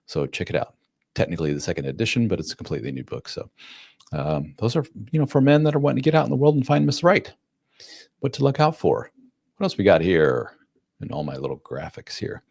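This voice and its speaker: A man in his forties with a very low, deep voice hosts a live chat, reading viewer comments aloud and answering them frankly. He talks casually and uses plenty of slang. The sound is dry and close, with no room echo. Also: big pitch swings, fast, gravelly, darkish tone. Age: 40s. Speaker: host and coach.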